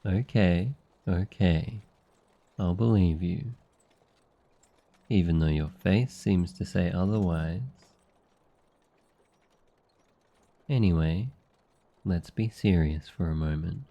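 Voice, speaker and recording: A man playing a gentle, devoted noble demon familiar in a boyfriend ASMR roleplay, speaking softly in a tender, earnest, unhurried way. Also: low voice, highs cut off, heavily acted. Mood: playful, caring.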